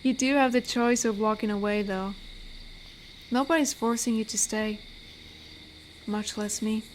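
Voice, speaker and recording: A fairly high female voice, gentle and teasing, plays a tender werewolf who protects a forest acted by a woman. She talks softly and closely to the listener in a roleplay audio drama. Faint cricket ambience sits behind her. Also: fairly even intonation, unhurried, slight background noise, close to an everyday voice, low-quality recording. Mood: tender, comforting. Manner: gentle, teasing, soft-spoken.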